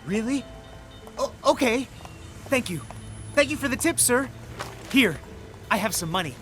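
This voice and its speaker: A man's voice: very high voice, lively intonation, measured pace, fairly bright tone, full character voice. An actor playing an earnest, loyal young American man who grew up in China and is searching for a lost friend. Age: young adult. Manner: earnest and emotional, rising to pleading. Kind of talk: audio drama acting. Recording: music playing underneath.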